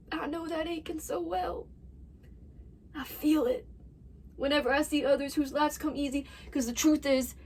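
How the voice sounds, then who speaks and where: A woman's voice: high-pitched, vocal fry. A woman performing a dramatic monologue as a desperate young farm wife confessing to her absent husband in an anguished manner. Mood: pained confession. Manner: anguished, swinging between whispers and outbursts. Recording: distant mic with faint background noise.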